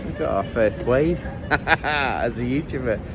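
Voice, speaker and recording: Hoarse, chatty man walking city streets. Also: medium pitch, expressive, a little slow. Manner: chatty, jokey, rambling asides. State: a bit hoarse and run down. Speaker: football travel vlogger. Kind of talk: walking travel vlog chat. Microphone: handheld camera. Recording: street traffic noise behind.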